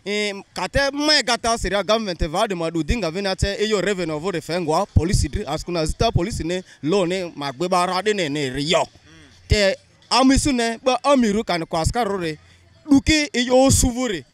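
A man's voice: sits high, expressive, fairly quick. A young man answering a street interviewer outdoors into a handheld mic, explaining his views earnestly, mostly in a local language. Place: busy town street outdoors.

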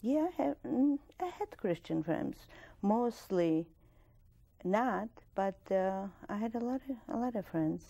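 Gravelly-voiced older Polish woman. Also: mid-range voice, animated, lo-fi sound. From Poland. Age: 60s. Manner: measured, plain-spoken, with soft laughs and restarts. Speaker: interviewee, Holocaust survivor. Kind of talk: oral history interview. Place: studio with dark curtain backdrop. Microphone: lapel mic.

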